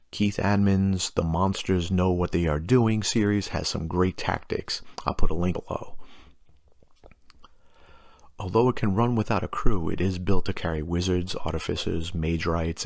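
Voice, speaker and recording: Deep, gravelly man explaining game lore. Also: no room sound, clean studio sound. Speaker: host of a tabletop gaming channel. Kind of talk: scripted lore explainer with casual asides.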